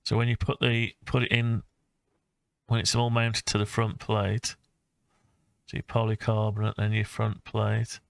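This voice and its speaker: Bloke doing a casual design walkthrough, kinda monotone, halting as he thinks, dry close sound. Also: on the low side, creaky, dry recording. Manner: thinking aloud, hesitant, halting mid-phrase. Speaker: hobbyist maker presenting own design project. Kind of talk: informal screen-recorded CAD design walkthrough.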